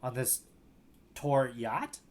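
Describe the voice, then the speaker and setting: A man's voice: mid-range voice, animated, extremely slow. A man co-hosting a comedy podcast, bantering casually with joking, mock-serious teasing. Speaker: podcast co-host.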